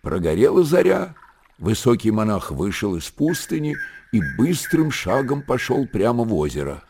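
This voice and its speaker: A man with a husky voice giving an expressive storytelling narration of literary prose for radio. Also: mid-range voice, normal speed, a little hiss or hum.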